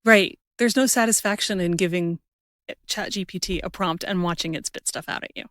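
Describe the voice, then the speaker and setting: A woman with a somewhat low voice speaks as a podcast host. Her delivery is informal and conversational, as in a relaxed interview exchange. The recording is dry, with very little room sound. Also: normal speed, no room sound.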